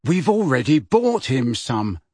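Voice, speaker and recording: A man's voice: fairly high pitch, expressive, takes it slowly, no echo, clean studio sound. A man voicing a mischievous teenage twin brother with a taunting, sarcastic way of talking. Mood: mocking.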